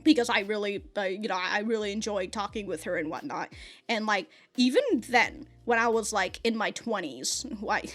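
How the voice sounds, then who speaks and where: A woman's voice: mid-range voice, soft background music. A woman in her thirties giving casual reaction commentary, blunt and profane, laughing often and stumbling through restarts and stammers. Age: 30s. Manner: halting, with many restarts and stammers. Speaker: anime reaction reviewer.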